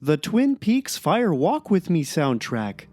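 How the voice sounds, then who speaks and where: A woman's voice: low-pitched, very animated, takes it slowly, dark tone, dry recording, clean studio sound, full character voice. A woman playing a resigned, self-deprecating cartoon blogger, giving a wry, downcast dramatic reading of a diary. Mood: moody.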